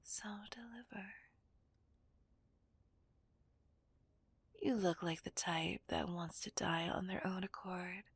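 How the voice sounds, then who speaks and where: A woman's voice: lowish voice, normal speed, gravelly, breathy edge, no echo. A woman playing a dominant, taunting female villain in an ASMR roleplay, whispering breathily and possessively to a hostage. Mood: cold, threatening.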